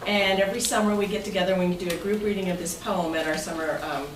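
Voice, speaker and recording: A woman's voice: medium pitch, steady tone, fast talker. Chatty woman presenting in an echoey room. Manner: chatty and playful, jokes and invites audience answers. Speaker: club president giving an overview. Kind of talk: informal presentation to a club meeting. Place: meeting room, voice picked up at a distance. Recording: echoey noisy meeting room, distant mic.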